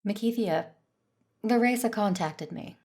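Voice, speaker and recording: A woman's voice: mid-range voice, unhurried, a little mellow. A woman playing a reserved, dutiful Jedi who narrates a sci-fi audio drama in the first person. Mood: serious, troubled.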